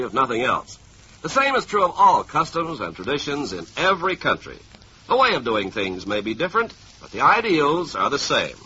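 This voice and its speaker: A man's voice: fairly high pitch, pushed and bright. A man working as a radio announcer, reading a scripted narration in 1950s broadcast style. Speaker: radio announcer addressing military listeners. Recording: dull, noisy 1950s radio recording.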